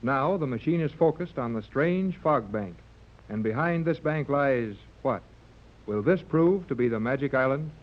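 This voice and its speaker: A male announcer played by an actor narrates an old radio adventure serial. His delivery is deliberate and full of suspense. The 1930s recording sounds dull and muffled. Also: medium pitch, lively intonation, lightly acted. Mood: suspenseful.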